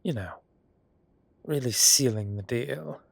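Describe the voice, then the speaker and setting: A man playing a suave, gossip-loving gentleman boss in an intimate audio roleplay, arch and playfully dramatic, with breathy, drawling delivery. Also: medium pitch, slow, dry recording, full character voice. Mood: teasing, sly. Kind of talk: scripted intimate roleplay acting.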